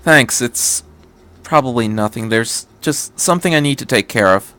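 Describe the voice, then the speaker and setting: A man's voice: mid-range voice, expressive, bright, forceful tone. Man playing a hesitant, uneasy teenage boy. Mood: tentative. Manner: halting, tentative.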